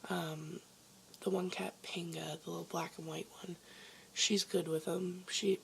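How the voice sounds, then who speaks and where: A woman vlogger with a hoarse voice, chatting casually and rambling with lots of ums from her basement bedroom. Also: fairly low pitch, level tone, a little slow, slight background noise. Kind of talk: casual chat to camera.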